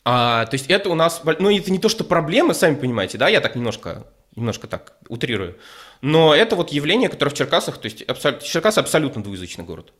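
A man from Ukraine, a guest telling his story in a relaxed chat, hesitant, with lots of fillers and careful wording. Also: on the high side, quick pace. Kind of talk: casual conversational storytelling.

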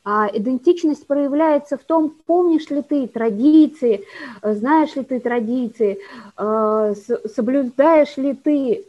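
A woman talking as a guest educator about correcting children's speech sounds, in a measured, explanatory way. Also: sits high, dark tone. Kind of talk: explanatory conversation. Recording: dull lo-fi recording.

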